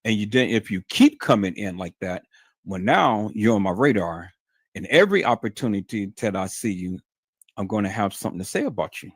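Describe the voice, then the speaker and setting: A man's voice: lowish voice, big pitch swings, fairly quick, slightly rough, no echo, clean studio sound. A man hosting a livestream, talking in a stern, emphatic, lecturing way to his viewers. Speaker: livestream host. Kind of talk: unscripted livestream monologue.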